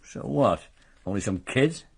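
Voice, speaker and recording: Husky, shifty, resentful uncle. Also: male voice, lowish voice, expressive, measured pace, exaggerated voice. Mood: defensive, resentful. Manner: defensive, grudging. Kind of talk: radio drama acting.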